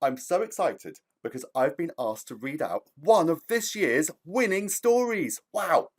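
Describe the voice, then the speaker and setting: A man's voice: fairly high pitch, very animated, a little slow. A man, a children's author, speaking to young children in an enthusiastic, theatrical storytelling style with emphatic delivery.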